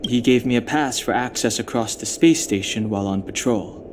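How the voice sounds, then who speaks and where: A man voicing a calm, reserved young guard in a video game, measured, aloof and matter-of-fact, over loud music. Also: medium pitch, background noise, close to an everyday voice. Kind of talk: scripted video game dialogue.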